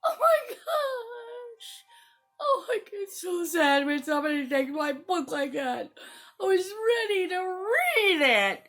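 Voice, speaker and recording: A woman's voice: very high voice, big pitch swings, slow, fairly bright tone, faint music behind, exaggerated voice. A woman playing an emotional, whiny little girl with exaggerated childlike wailing in a children's role-play story. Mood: tearful, angry.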